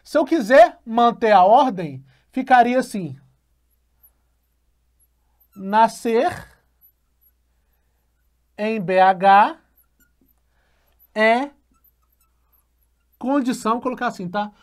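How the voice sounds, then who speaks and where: A male math teacher patiently explaining a logic lesson, often checking in with a quick question and slowly repeating key phrases. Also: very high-pitched, lively intonation.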